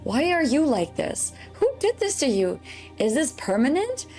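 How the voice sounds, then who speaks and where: A woman's voice: sits high, big pitch swings, a little slow, darkish tone. A woman VTuber narrating a scripted video, addressing her viewers in a chatty way. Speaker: VTuber and content creator. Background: background music.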